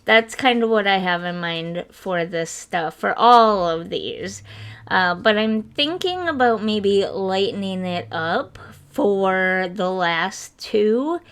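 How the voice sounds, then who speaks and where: A woman narrating a game build video in a casual, rambling way, her voice a little rough and stuffy from congestion. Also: mid-range voice, lively intonation, takes it slowly, fairly bright tone. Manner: chatty and apologetic, rambling with fillers. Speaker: gaming video creator. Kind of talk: casual voice-over commentary over a speed build.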